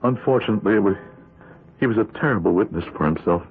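A man's voice: fairly low pitch, expressive, quick pace, a little hiss or hum. An actor playing a guilt-torn juror, narrating his secret dilemma in a brooding, hesitant, confiding manner for a 1970s radio drama. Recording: muffled lo-fi radio, faint music.

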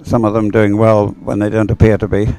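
A man giving unhurried, chatty live race commentary in an encouraging manner. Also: on the low side, completely flat delivery, mellow, low-quality recording. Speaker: sports commentator.

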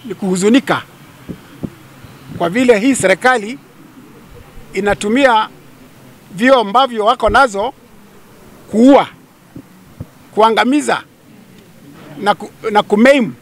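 A man's voice: high-pitched, a bit bright. A man in his fifties with a Kenyan accent giving a press statement outdoors into press microphones. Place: outdoors among a crowd of people. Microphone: cluster of handheld press microphones. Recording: light background noise, outdoors.

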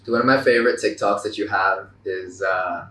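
Young man interviewing, echoey, lowish voice. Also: fairly even intonation, brisk, bright, forceful tone, far from the mic. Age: young adult. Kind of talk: casual chat. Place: living room, on a leather couch. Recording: echoey room, off-mic, background noise.

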